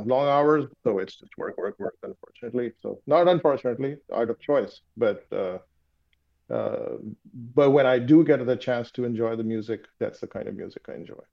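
Field: man, reflective, muffled call. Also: medium pitch. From Pakistan. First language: Urdu. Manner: reflective and philosophical, halting with many fillers. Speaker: interviewee. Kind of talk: casual conversation on a call.

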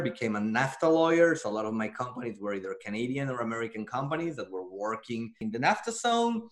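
A man from Mexico with a Mexican Spanish accent, giving measured, explanatory answers as an interview guest. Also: medium pitch. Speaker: interview guest, defense futurist. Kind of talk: thoughtful conversational answers.

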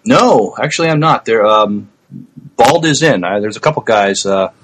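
Low-voiced middle-aged man, halting podcast chat. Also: lively intonation, fairly bright tone, slightly rough. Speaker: podcast co-host.

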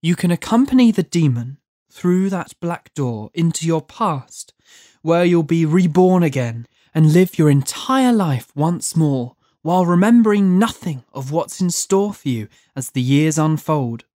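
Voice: mid-range voice, lively intonation, a little slow, mellow, dry recording, studio quality, close to an everyday voice. A narrator voicing a serene woman angel of the future, delicate and composed in a story reading. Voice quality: delicate, glittery.

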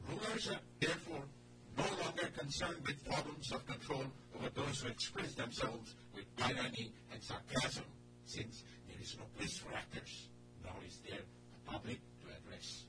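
A man reading out a written message in a declamatory, measured way. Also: a little high, lively intonation, very noisy. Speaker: guest reader. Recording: loud music behind, distant mic.

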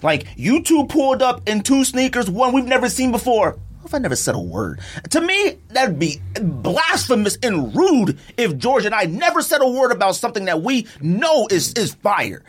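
A man's voice: very high voice, big pitch swings, moderate pace, few pauses, husky. A middle-aged man hosting a casual podcast debate, talking in an emphatic, opinionated, slangy way. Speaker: podcast host.

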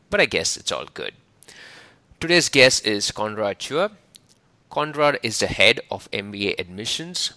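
A man's voice: on the low side, sharp tone, no echo. A man hosting an interview podcast in an upbeat way, often laughing. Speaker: podcast host. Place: lives in London.

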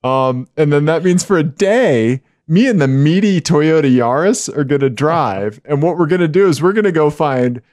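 A man with a naturally high voice co-hosting a podcast. He laughs easily, and his pitch swings widely and climbs even higher when he gets excited. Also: normal speed, few pauses, dry recording. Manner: giggly, animated, voice jumps high when excited. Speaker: podcast co-host. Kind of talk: casual podcast chat.

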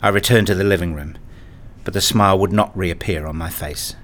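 A man narrating a first-person radio story in a measured, reflective way, also voicing the father's lines. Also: low voice, a bit bright, slight background noise. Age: middle-aged. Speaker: narrator and lead actor playing a father.

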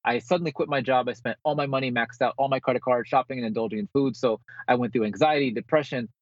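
Male motivational guest, rapid and slightly husky, dull remote-call audio. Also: mid-range voice, no echo. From born in the Philippines, grew up in New Jersey. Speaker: interview guest, motivational speaker and author. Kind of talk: conversational motivational interview.

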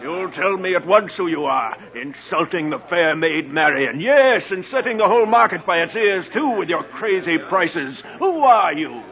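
A piercing, high-pitched sheriff, full of officious bluster and self-importance. The delivery is theatrical mid-century radio adventure, heard on a dull old recording. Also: male voice, animated, normal speed, hardly any pauses, fairly bright tone.

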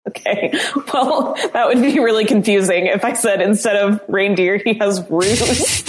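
A woman co-hosting a relaxed podcast in a mid-range voice. She tells stories playfully and laughs easily between remarks. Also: medium pitch, unhurried, background noise. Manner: giggly and playful. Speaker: podcast co-host. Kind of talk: casual podcast chat.

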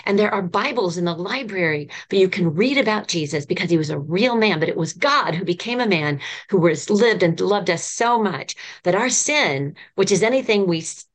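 A woman in her fifties speaks as a podcast guest, with a slightly low voice. She tells her stories with earnest, eager warmth of conviction. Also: expressive, moderate pace, few pauses. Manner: earnest, enthusiastic storytelling. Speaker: children's ministry professor, guest. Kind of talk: podcast conversation.

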